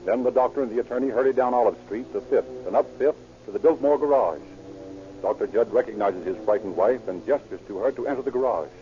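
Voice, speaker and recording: An American man narrating an old radio crime drama in a grave, measured, suspenseful style. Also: medium pitch, natural voice. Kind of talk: dramatic scripted radio narration, present tense. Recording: muffled old radio recording, music behind.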